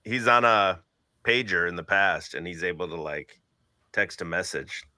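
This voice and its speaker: A man's voice: sits low, moderate pace, sharp tone, dry recording, clean studio sound. A man from Minnesota hosting a stream, chatting in a laid-back, slangy, joking way. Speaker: stream host. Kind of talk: casual chat.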